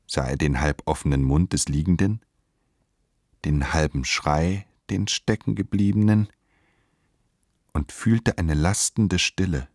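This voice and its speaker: Male narrator, low register, unhurried pace, measured and solemn, literary radio drama. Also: a little mellow, no echo, clean studio sound.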